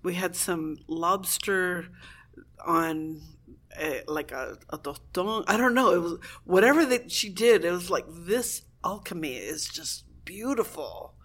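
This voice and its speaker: A woman in a casual conversation, animated and laughing often, with a lot of fillers as she talks. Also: fairly low pitch, measured pace. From Cuban family in Southern California. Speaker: guest, blogger. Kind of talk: casual chat.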